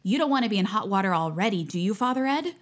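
A woman playing a zealous, nosy high school senior in an audio drama, pushy and earnest in a self-righteous way. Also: animated, fast talker, lightly acted. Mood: scheming, self-righteous.

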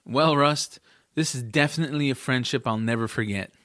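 A man's voice: medium pitch, fairly quick, fairly bright tone, no echo, studio quality, lightly acted. A man playing an earnest, eager young boy hero in a family fantasy audiobook drama. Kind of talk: audiobook drama character acting.

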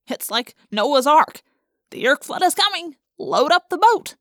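A woman's voice: high voice, very animated, a little slow, fairly bright tone, no room sound, studio quality, exaggerated voice. A woman voicing a sardonic, wisecracking teenage boy in an audiobook, brash and sarcastic. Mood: incredulous.

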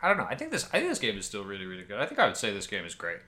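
A man's voice: lowish voice, wildly swooping pitch, racing, pushed and bright. A man co-hosting a casual video game podcast, thinking aloud in a hesitant, rambling way. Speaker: podcast co-host.

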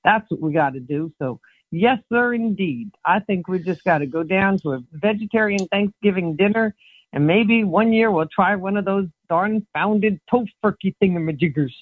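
A woman's voice: medium pitch, lively intonation, normal speed, a little hiss or hum, natural voice. A woman playing a folksy, bumbling farmer in an improvised comic story. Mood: admiring. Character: folksy bumbling farmer, sing-song name, exclaims at mishaps. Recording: muffled, phone-like line.

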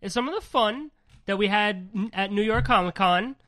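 A woman's voice: medium pitch, moderate pace, a bit bright. Chatty, rambling woman podcast host. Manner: rambling, swears freely, laughs often. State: congested from a cold. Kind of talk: casual podcast chat.

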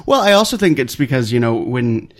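A man hosting a casual podcast, loud and jokey, often breaking into laughter. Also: mid-range voice, big pitch swings, fairly quick. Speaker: podcast host.

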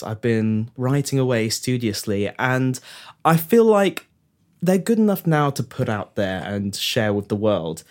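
A man presents a comedy podcast with a very animated, rising and falling voice. His chat is playful and teasing, somewhere between prepared patter and banter. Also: medium pitch. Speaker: podcast host and writer. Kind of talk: comedic scripted-sounding chat.

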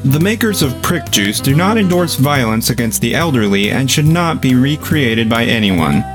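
A man playing a pompous, mock-serious announcer reading formal disclaimers for a parody commercial in a deadpan, officious way. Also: mid-range voice, lightly acted. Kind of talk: scripted parody ad narration. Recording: guitar music behind. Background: guitar music.

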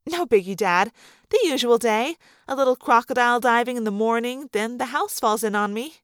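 Female narrator as sarcastic young girl heroine, high voice, flippant delivery, audiobook fiction. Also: moderate pace, clean tone, dry recording, clean studio sound, lightly acted. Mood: wry, flippant. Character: wry, sarcastic young girl telling off fuss.

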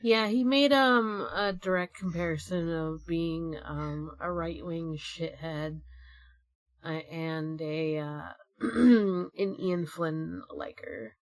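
A woman's voice: low-pitched, slow, no room sound. A woman co-hosting a podcast in casual chat, with dry, sarcastic asides. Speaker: podcast co-host.

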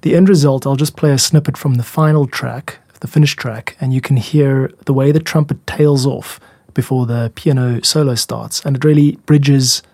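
Husky man explaining music production, dry sound. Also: medium pitch, steady tone, moderate pace, a little mellow, no room sound. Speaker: music podcast host and composer.

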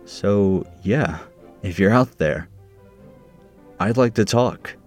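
A man doing a slow wistful lonely heart. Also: fairly low pitch, darkish tone, faint music behind, voice pushed down. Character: lonely hopeful person leaving a dating ad.

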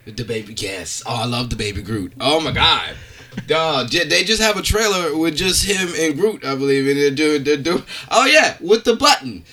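A man's voice: a little high, animated, normal speed, bright, forceful tone. A man on a podcast, bantering in a casual, playful and profane way. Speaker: podcast guest.

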